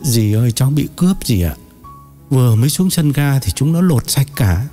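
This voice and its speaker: A man's voice: medium pitch, very animated, normal speed, dark tone, soft background music. A man voicing a weary, humiliated, tearful man in an audio story, hesitant and plaintive. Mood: tearful, ashamed. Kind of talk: acted dialogue in an audio story.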